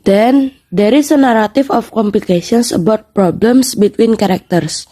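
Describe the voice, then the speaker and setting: A young Indonesian-accented woman co-hosts a student podcast with scripted lessons. Her voice is slightly high yet soft and rounded in tone. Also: unhurried.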